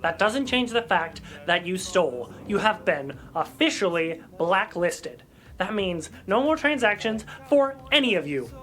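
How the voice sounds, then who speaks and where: A woman's voice: mid-range voice, very animated, a little slow, a bit bright. A woman playing a pompous, pedantic male collectibles seller who is grudging and indignant, in a comedic audio drama.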